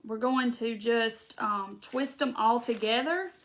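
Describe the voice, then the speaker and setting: A woman's voice: fairly high pitch, takes it slowly. A woman with a Southern accent hosting a live craft demonstration, chatting straight to her viewers and answering their comments as she works. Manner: rambling with restarts and laughs. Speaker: craft video host.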